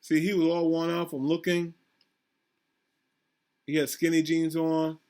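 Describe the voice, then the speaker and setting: Casting: male principal doing a children's read-aloud, slightly raised pitch, conversational asides, questions to the listeners. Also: completely flat delivery, unhurried, a little mellow. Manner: addresses children directly, asks questions, repeats himself. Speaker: school principal reading aloud.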